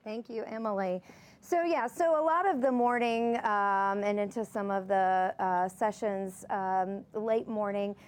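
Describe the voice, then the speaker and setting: A woman gives an informal conference presentation. Her voice sits at an average pitch, and she speaks conversationally, starting sentences a little haltingly.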